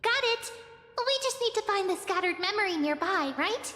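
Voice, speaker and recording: An actress playing a cheerful, eager little companion with time powers. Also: female voice, very high-pitched, lively intonation, normal speed, full character voice. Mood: chirpy. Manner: chirpy, eager. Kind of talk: video game dub acting. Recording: echoey hall with soft music.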